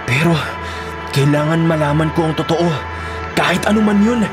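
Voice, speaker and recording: Radio drama casting: man playing sincere, suspicious young boyfriend, fairly high voice, expressive delivery. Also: moderate pace, over loud music, natural voice. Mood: puzzled, uneasy. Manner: expressive, stammers when startled. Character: earnest, lovestruck young boyfriend, uneasy and suspicious. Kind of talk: radio drama acting with inner monologue.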